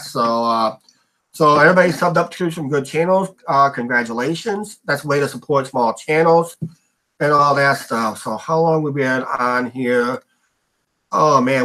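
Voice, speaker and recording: Middle-aged male host, bright, rambling livestream chatter full of fillers. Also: mid-range voice, low-quality recording. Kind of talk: casual livestream chat.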